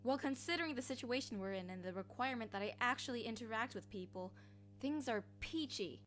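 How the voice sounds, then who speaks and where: Woman playing a high-voiced sarcastic loner. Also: lively intonation, brisk, fairly bright tone, a little hiss or hum. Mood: sarcastic, grudging. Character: sarcastic, antisocial, sceptical female guest. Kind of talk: amateur audio drama acting.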